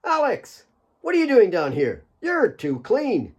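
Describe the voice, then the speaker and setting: A man's voice: high voice, wildly swooping pitch, a little slow, pitched above the performer's own voice, heavily acted. A man voicing a bubbly, cheeky young male miner who banters and boasts in an audiobook. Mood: cheeky teasing. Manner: exuberant, bantering. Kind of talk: audiobook character dialogue.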